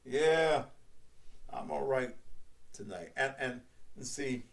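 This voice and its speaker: A man in his sixties, a radio host and preacher, talking in a slow, emphatic preaching cadence. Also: gravelly, recorded from a distance. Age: 60s. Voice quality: baritone. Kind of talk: preachy live-stream talk.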